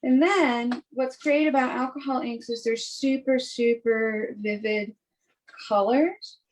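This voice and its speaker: A woman artist casually demonstrating her work on a video call, relaxed and chatty with fillers. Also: fairly high pitch, takes it slowly, slight background noise, low-quality recording. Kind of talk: casual demo talk.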